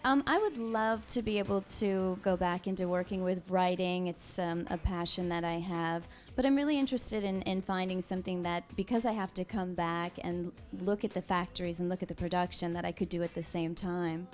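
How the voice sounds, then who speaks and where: A woman in her thirties answering interview questions in a casual, thoughtful way, pausing often with soft ums. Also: lowish voice, soft background music. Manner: soft-spoken, thoughtful, with frequent pauses and ums. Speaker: interview guest.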